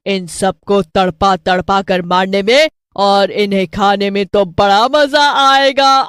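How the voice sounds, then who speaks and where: A woman's voice: medium pitch, slow pace, few pauses, fairly bright tone, clean tone, no room sound, clean studio sound. A woman voicing a gloating, bloodthirsty witch with a menacing, cackling manner in a cartoon horror story. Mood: gloating, threatening. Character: gloating, bloodthirsty witch who preys on people.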